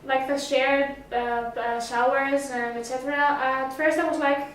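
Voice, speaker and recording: High-voiced teenage girl, echoey room. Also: fairly even intonation, unhurried, fairly bright tone, a little hiss or hum. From Greece. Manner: hesitant, many fillers and restarts. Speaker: summer school student. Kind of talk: casual interview answers. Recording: roomy echo, mic at a distance.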